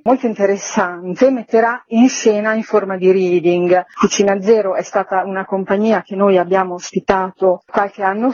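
A woman, a theatre artistic director, explaining a programme in a measured way as a radio interview guest. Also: mid-range voice, a little mellow, no room sound. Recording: dull lo-fi radio interview audio.

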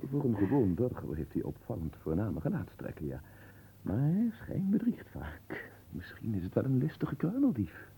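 An actor playing a pompous, absent-minded marquis who muses aloud theatrically in a radio play. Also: male voice, fairly low pitch, huge pitch swings, normal speed, creaky, mellow, slightly rough, full character voice, poor audio. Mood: pondering.

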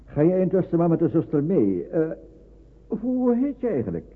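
A dryly courteous hospital doctor in a radio drama. His voice is fairly high and husky, with lively rises and falls. The recording is old, thin and narrow in band. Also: normal speed. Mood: courteous, decisive. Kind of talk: radio play acting.

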